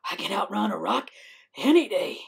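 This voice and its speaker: A woman voicing a selfish, whiny male coyote in a storybook tale, with loud, dramatic pleading and yelping. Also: mid-range voice, animated, normal speed, creaky, slightly rough, exaggerated voice. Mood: defiant, boastful.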